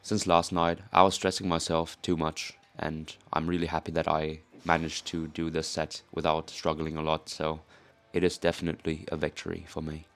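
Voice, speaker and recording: A man's voice: very deep voice, monotone, normal speed, few pauses. A young Korean man, a native Korean speaker, narrating his lifting vlog in a put-on British-leaning accent, candid and self-mocking with casual swearing. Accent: put-on British-leaning English accent. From South Korea. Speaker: powerlifting vlogger.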